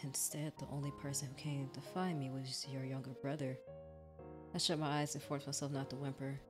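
A woman acts out a terrified victim narrating a horror visual novel. The voice is low, halting and fearful, heavy with guilt, over clear background music. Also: level tone. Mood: frightened.

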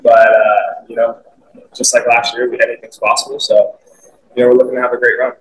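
Young soccer guy at a press conference, flat delivery, lots of you knows, candid. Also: mid-range voice, a little fast, poor audio. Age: young adult. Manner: upbeat, filler-heavy, candid. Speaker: soccer player. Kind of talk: post-match press conference answers. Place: team media room, indoors.

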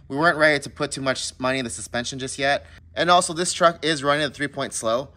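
A man in his thirties narrating a do-it-yourself truck repair, explaining each step in a relaxed, plainspoken way. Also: medium pitch. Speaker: garage channel host. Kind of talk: how-to voice-over narration.

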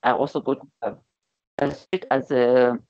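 A man's voice: medium pitch, flat delivery, slow, gravelly, a little mellow, dry recording. A man in his forties from Zambia, a life coach telling his life story in an interview over a video call, earnestly and with many restarts. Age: 40s. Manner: earnest storyteller, repeats words when starting a thought. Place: indoor room at home. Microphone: video call, wired earphones. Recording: dull lo-fi video call audio.

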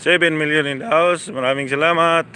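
A man's voice: fairly high pitch, fairly even intonation, bright, forceful tone, a little hiss or hum. A man live-streaming from outdoors on a phone, casually chatting and thanking his viewers over and over. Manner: slow, chatty, repeats thanks. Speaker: live-streaming motorcycle vlogger. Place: outdoors, city streets by motorcycle, then a yard.

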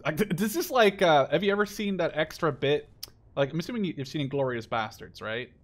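A man in his twenties from the Netherlands, chatting casually and joking with a friend while playing a shooter game, with sudden excited shouts. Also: on the high side, fairly quick. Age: 20s. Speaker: gaming channel host. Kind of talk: casual gameplay chat with a friend.

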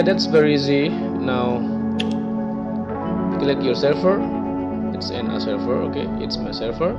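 A young man from Indonesia, not a native English speaker, talking through a computer tutorial on a headset mic in a halting way, with many fillers and repeated words. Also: mid-range voice. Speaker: tutorial presenter. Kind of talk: screen-recorded gaming tutorial.

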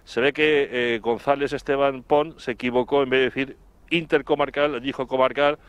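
A middle-aged man with an accent from Spain, reporting live outdoors in a wordy, halting way, repeating words as he recalls things. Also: medium pitch, steady tone, clear, resonant tone, no echo. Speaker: television reporter. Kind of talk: live report, spoken recollection. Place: outdoors in front of an office building. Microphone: handheld microphone, through a face mask.

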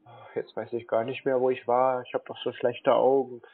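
A man on a phone call, talking as a confused customer in a halting, dithering way and repeating himself. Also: mid-range voice, flat delivery, slightly rough. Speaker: scam baiter playing a gullible customer. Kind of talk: phone call, slow put-on pensioner act. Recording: dull band-limited phone line.

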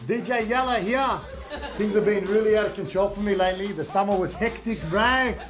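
A man's voice: high-pitched, fairly quick, natural voice. An Australian man playing a cocky, hyped-up young suburban DJ with slangy, boastful bro-heavy talk. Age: young adult. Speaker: comedy performer on a sports show. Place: TV studio and filmed sketch locations. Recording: loud music and crowd behind voice.

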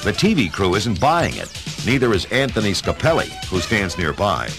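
A male storyteller with a fairly low voice. He delivers scripted narration in a measured, dramatic way with expressive pitch, set over a prominent musical score. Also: music in the background.

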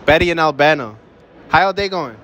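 A young man running a street interview in a shopping mall, teasing couples in slangy, quick banter. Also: fairly high pitch, animated, fairly bright tone, slight background noise. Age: young adult. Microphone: handheld phone held up to mouth.

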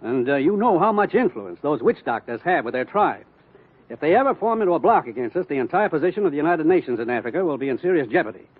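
An actor playing an urgent, businesslike commissioner with a brisk, authoritative way of talking in an old radio drama. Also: male voice, a little high, big pitch swings, narrow, tinny audio. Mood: urgent, serious. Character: urgent, businesslike male commissioner briefing his agent. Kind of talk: 1950s radio drama acting. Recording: old band-limited radio recording.

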